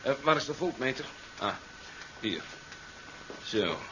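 Blunt sceptical scientist, dull noisy recording. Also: male voice, mid-range voice, big pitch swings, slow. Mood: businesslike. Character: sceptical, pragmatic male scientist and doctor, gruffly stubborn. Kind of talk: 1970s science fiction radio play acting.

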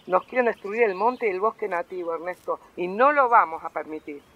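An actress playing an earnest, determined activist in a radio drama, with an Argentine accent and emphatic delivery. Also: female voice, fairly low pitch, animated, a little fast, lo-fi sound. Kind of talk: radio theatre acting.

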